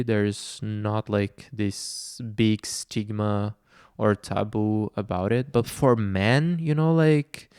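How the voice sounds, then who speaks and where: Soft-spoken man, slow and hesitant. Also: fairly low pitch, clean tone, no room sound, studio quality. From Brazil. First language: Portuguese. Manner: hesitant, many fillers and restarts. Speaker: vlogger. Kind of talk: reflective personal talk.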